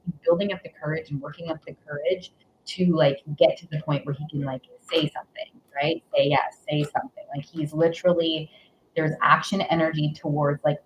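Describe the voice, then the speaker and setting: A woman's voice: low voice, fairly even intonation, fairly quick, slight background noise, low-quality recording. A woman doing a live tarot reading in casual chat, rambling with many fillers and giggles. Her nose is stuffy. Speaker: tarot reader.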